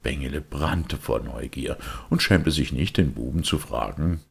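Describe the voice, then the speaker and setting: A man reading a children's story as an expressive audiobook narrator. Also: extremely low pitch, a little slow, vocal fry, husky.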